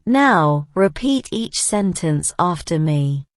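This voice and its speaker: Woman giving lesson directions real slow, lowish voice, dry. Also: lively intonation, no room sound. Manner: slow, plain instruction. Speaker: lesson instructor. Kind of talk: brief spoken instruction.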